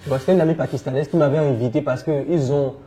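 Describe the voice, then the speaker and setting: A man with a West African French accent, answering conversationally as an interview guest, telling his experience in an animated way. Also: fairly high pitch, rapid-fire, slight background noise. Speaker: interview guest, entrepreneur and coach.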